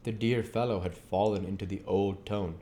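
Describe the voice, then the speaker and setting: A man's voice: on the low side, steady tone, normal speed, dark tone, slight background noise. A man reading a classic novel aloud as an amateur audiobook narrator.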